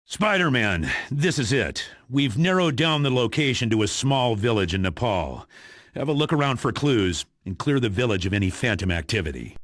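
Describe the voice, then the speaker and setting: An actor playing an authoritative spy agency commander in a video game, giving orders in a gruff, commanding manner. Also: male voice, medium pitch, sing-song, moderate pace, fairly bright tone, no room sound. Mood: grave briefing. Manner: commanding, briefing, dry. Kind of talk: video game mission briefing.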